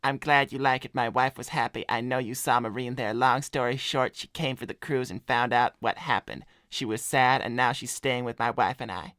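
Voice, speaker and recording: A laid-back scumbag hedgehog played by a man with a mid-pitched voice. He talks in slangy, deadpan, self-assured livestream chat. The recording is close and dry. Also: moderate pace, few pauses, dry recording, close to an everyday voice. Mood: reading aloud. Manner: slangy, swearing, deadpan bragging. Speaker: cartoon character co-hosting an advice livestream. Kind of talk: improvised in-character chat, reading donor messages.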